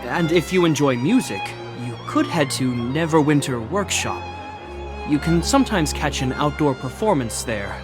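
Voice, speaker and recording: Dutiful guard officer, played by a man for a game: fairly high male voice, polite, formal, music underneath. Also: animated, normal speed, natural voice. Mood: helpful. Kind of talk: English video game dub acting.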